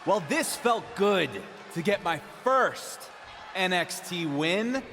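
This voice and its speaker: An actor playing a cocky champion wrestler cutting an emphatic, halting promo on the microphone in a video game. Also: male voice, high-pitched, expressive, bright, forceful tone, soft background music, heavily acted. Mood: triumphant. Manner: emphatic, halting phrases for effect. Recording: echoey arena PA with crowd noise.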